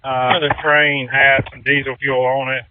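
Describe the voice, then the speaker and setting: A man's voice: mid-range voice, level tone, unhurried. A man reporting from a scene as a firefighter, in terse emergency radio traffic. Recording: noisy, tinny two-way radio with clicks.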